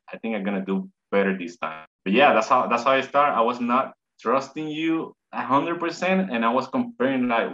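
A man's voice: medium pitch, fairly bright tone. Puerto rican man on a lo-fi call. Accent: Puerto Rican Spanish. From Puerto Rico. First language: Spanish. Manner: halting, repeats words, quotes himself. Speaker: interview guest. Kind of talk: casual video call interview. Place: home room, indoors. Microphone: video call webcam. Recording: dull lo-fi video call audio.

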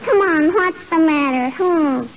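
A woman's voice: very high voice, unhurried. A woman playing a pert, needling little girl in a 1940s radio comedy, heard on a muffled old broadcast with hiss. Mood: mock-sentimental. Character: sassy bratty little girl neighbor.

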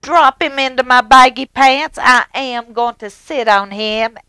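A woman voicing an angry storybook king who barks loud, blustering commands. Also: sits low, wildly swooping pitch, takes it slowly, dry recording, heavily acted. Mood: furious. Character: angry, spoiled storybook king, a grown man.

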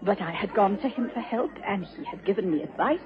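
An actress playing an anxious, earnest doctor's wife with a husky voice, telling her story in a radio drama. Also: mid-range voice, lively intonation, brisk, a loud crowd, very noisy, natural voice. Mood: anxious, reflective. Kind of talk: radio drama narration and acting. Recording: dull lo-fi radio broadcast.

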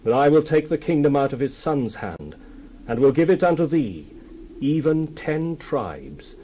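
A man's voice: fairly high pitch, big pitch swings, measured pace, mellow, slight background noise, rough recording. An actor playing God as a solemn, commanding male deity in a dramatized scripture reading, stately and declamatory.

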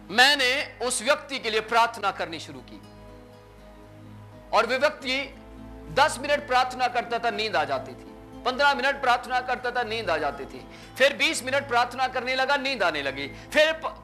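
Brief: male pastor, 30s, high bright voice, animated storytelling sermon, faint music underneath. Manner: animated preacher, acts out dialogue with sweeping gestures. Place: small indoor stage with curtains.

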